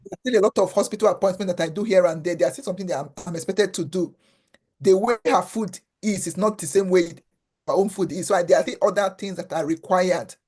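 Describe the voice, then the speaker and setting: A woman's voice: on the low side, quick pace, darkish tone, breathy edge. A woman originally from Africa, a guest in an interview, speaking earnestly and often repeating words while she finds them. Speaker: guest, foundation founder. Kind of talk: conversational interview.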